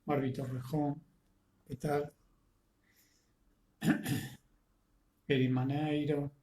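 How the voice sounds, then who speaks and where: Casting: Rioplatense Spanish man, teacher with a cold, slow and unhurried, echoey room. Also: fairly high pitch, flat delivery, mellow. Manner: greets attendees by name, halting with many fillers. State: congested with a cold and fever. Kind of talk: live online lecture.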